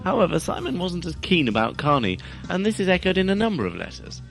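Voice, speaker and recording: A man hosts a scripted magazine programme. His voice is fairly high and swoops widely in intonation, with music softly behind it. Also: huge pitch swings, fast, vocal fry. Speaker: host.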